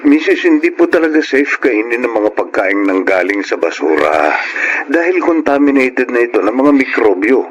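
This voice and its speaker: A man's voice: medium pitch, very animated, moderate pace, close to an everyday voice. A man playing an earnest, cautioning hospital doctor with a Filipino accent, courteous and explanatory, in a radio-style drama. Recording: noisy radio drama with background ambience.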